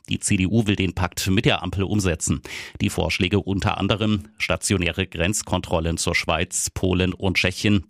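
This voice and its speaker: A man's voice: sits low, dry recording, clean studio sound. A man presenter reading news bulletins in a firm, dramatic scripted style.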